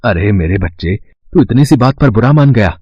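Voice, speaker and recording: A kindly working father acted by a man in a children's story. He is humble, proud and encouraging, with a lowered pitch and a dry, close sound. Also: lively intonation, normal speed, dry recording, muffled recording. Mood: reassuring. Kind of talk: children's moral story dialogue.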